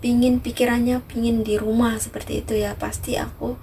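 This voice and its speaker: A woman's voice: fairly high pitch, level tone, a little fast. A woman from East Java chats casually to viewers in a repetitive way, often adding small tags that seek agreement. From Ngawi, East Java, Indonesia. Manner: repetitive, frequent softening tags seeking agreement. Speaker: vlogger, migrant worker. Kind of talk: casual vlog chat to viewers. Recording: distant mic, noisy ambient background.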